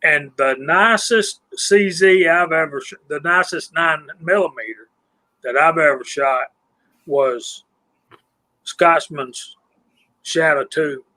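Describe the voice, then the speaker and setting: Casual co-host, a man in his fifties with a slightly high voice, easygoing and self-deprecating. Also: slow, low-quality recording. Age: 50s. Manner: relaxed, self-deprecating, asks guests questions. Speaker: live stream co-host. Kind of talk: casual chat.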